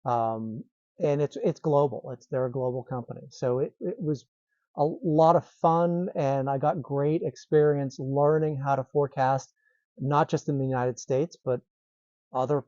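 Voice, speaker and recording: A man's voice: medium pitch, a little slow, warm tone, no echo. A middle-aged man from Massachusetts, a meteorologist guest chatting in an easygoing way on a podcast. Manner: easygoing, laughs lightly, restarts phrases. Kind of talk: casual interview chat. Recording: slightly dull lo-fi sound.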